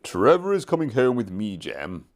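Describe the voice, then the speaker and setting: A man voicing a kindly, calm country vicar in a children's storybook, genial and measured. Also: fairly low pitch. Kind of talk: children's storybook character reading.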